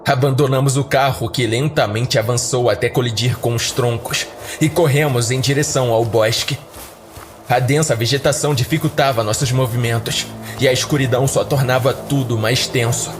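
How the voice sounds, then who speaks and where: A man's voice: mid-range voice, steady tone, normal speed. A man narrating a scripted first-person horror story for a podcast. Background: faint music.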